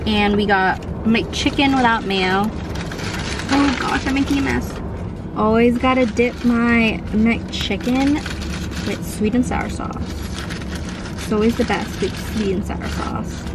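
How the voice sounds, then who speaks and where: A young woman in her twenties chatting casually for a travel vlog, excitable and rambling with fillers, recorded by a dashboard camera in a car. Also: fairly high pitch, slow pace, distant mic. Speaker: vlogger. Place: inside a parked car, later outdoors in forest. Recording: noisy car and outdoor ambience.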